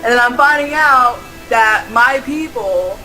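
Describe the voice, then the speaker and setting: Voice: mid-range voice, unhurried, pushed and bright, soft background music, poor audio. An interviewee from the United States talking on a video call, rambling with many "like"s and restarts. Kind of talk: rambling casual chat.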